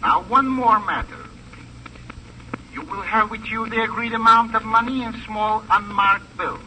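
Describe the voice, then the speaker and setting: An actor playing a menacing, mocking criminal in a 1950s radio crime drama, speaking with formal, measured politeness. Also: male voice, sits high, sharp tone, heavily acted, low-quality recording. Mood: smugly mocking. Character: menacing, precise, mocking male criminal on telephone. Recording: old radio recording, faint noise.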